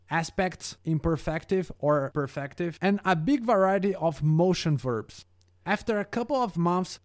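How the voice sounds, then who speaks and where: A man whose first language is Brazilian Portuguese, narrating a prepared script about learning languages in a careful, steady way. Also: on the high side.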